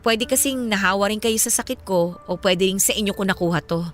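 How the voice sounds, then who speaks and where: A woman's voice: medium pitch, brisk, slight background noise, close to an everyday voice. A woman playing a guarded, earnest ex-girlfriend in a radio drama, firm and matter-of-fact, with a Filipino accent.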